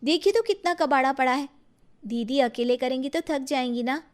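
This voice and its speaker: Woman playing a very high-voiced, dutiful young daughter-in-law. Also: normal speed, a little hiss or hum, natural voice. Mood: earnest, explaining. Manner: earnest, deferential, plaintive.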